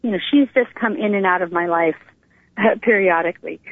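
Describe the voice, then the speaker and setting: A middle-aged American woman shares her personal story as an interview guest. Her voice has a gravelly fry and a medium pitch. She talks informally and conversationally, heard through a narrow, tinny phone line. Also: normal speed, no echo. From Northern California, United States.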